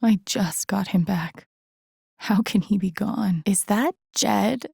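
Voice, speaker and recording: A woman voicing a young, introspective, dry-humored game heroine, murmuring her thoughts in a husky, breathy voice. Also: mid-range voice, slow, darkish tone, dry recording, heavily acted. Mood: grieving. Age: young adult. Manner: murmured, breathy thinking aloud, often near a whisper. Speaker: video game voice actress. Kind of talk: soft inner-monologue game voice acting.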